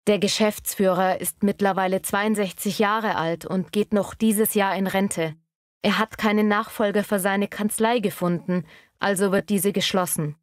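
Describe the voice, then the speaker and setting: A woman acting a polite, eager bookkeeper at a job interview in a scripted language-learning dialogue, speaking courteously and clearly. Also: mid-range voice, steady tone, moderate pace, dry recording, studio quality, lightly acted. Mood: polite, pleased.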